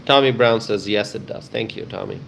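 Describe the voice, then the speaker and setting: A man hosting a live stream, chatting casually with viewers in a halting way, often repeating himself and pausing. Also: lowish voice, a bit bright. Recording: clear ambient background noise.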